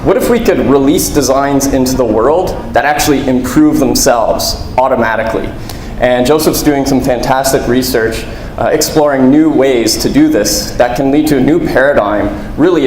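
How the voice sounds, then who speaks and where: A man acts as host, introducing a guest speaker at a talk. His voice sits at a middle pitch. The room adds clear echo, with faint noise underneath. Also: a little mellow. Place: room with live audience.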